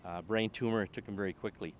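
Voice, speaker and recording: A Canadian man in his late sixties, a retired shop owner, telling stories about his family business in a relaxed way and laughing at his own jokes. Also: fairly low pitch, quick pace, slight background noise. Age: older. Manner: chuckling, self-deprecating. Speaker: guest. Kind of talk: casual storytelling.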